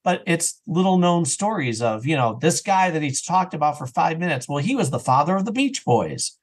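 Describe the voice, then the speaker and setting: A man's voice: on the high side, big pitch swings, moderate pace. A man speaking as an interview guest on a podcast in a casual, opinionated, emphatic conversational way. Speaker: interview guest, audio drama writer and actor.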